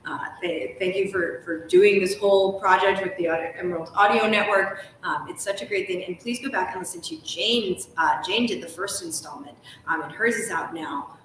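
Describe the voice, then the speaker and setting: A woman's voice: lowish voice, normal speed, hardly any pauses, a bit bright. A woman in her thirties hosting a live event in an enthusiastic, gushing way, speaking in a room some distance from the microphone. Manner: effusive, gushing. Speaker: live show host. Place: large hotel lounge, indoors. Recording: echoey room, faint noise.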